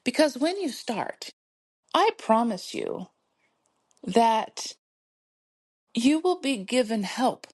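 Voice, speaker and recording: Woman, middle-aged, podcast host, soothing, encouraging, laughs often, a bit high-pitched. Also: very animated, slow pace, pauses often, a little mellow. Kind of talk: motivational solo podcast talk.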